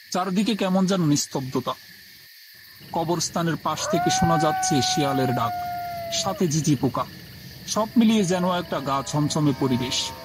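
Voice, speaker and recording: A man with a fairly high voice narrates a horror tale in the first person. His delivery is scripted, dramatic and suspenseful. Music runs clearly behind him.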